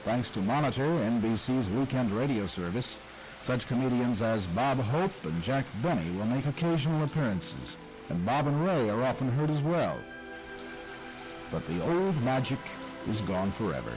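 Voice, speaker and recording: A male narrator reads a documentary script in a measured, reflective way, his pitch moving lively up and down. Music plays clearly behind him. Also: poor audio.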